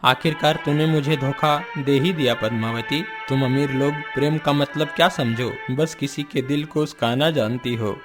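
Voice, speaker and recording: A man's voice: mid-range voice, moderate pace, few pauses, over music, lightly acted. A man voicing a humble, lovelorn commoner in a cartoon story, with a plaintive manner. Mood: bitter. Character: humble, lovelorn commoner, secret lover of a princess.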